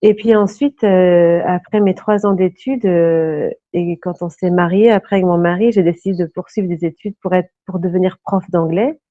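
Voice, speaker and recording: A woman's voice: on the low side, steady tone, moderate pace, hardly any pauses, dry recording. A woman in her thirties from Brittany talks through her story as an interview guest. She sounds earnest and reflective and stops often to search for the right word. The sound is dull and lo-fi, with a dry, close feel. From Brittany, France. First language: French. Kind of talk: casual interview talk.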